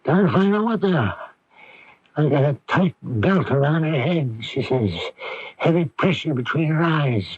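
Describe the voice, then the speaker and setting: An actor playing a weary, kindly older family doctor who grumbles in an avuncular way, in a radio drama. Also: male voice, on the high side, lively intonation, moderate pace, slightly rough, no room sound. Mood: grave. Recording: dull lo-fi vintage radio broadcast.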